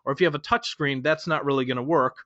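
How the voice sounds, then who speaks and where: A man's voice: medium pitch, fast talker, sharp tone, no echo, lo-fi sound. Conversational man teaching reading. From Chicago, USA. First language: Polish. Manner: conversational, loose, with fillers and restarts. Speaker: speed reading instructor. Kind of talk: lecture to a class.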